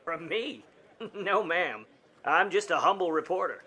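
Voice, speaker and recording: An actor playing a wry, wisecracking private eye in a 1950s noir radio play, narrating and trading slangy lines. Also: male voice, sits high, expressive, unhurried, sharp tone, exaggerated voice. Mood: sly, needling. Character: wry, grudging hardboiled male private eye.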